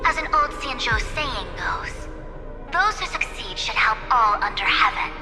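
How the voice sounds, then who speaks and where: A woman's voice: on the high side, expressive, measured pace. An actress playing a stern, dutiful female envoy in a game cutscene, speaking in a formal, pointed way. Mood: firm, formal. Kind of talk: scripted game cutscene acting. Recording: echoey hall reverb, music underneath.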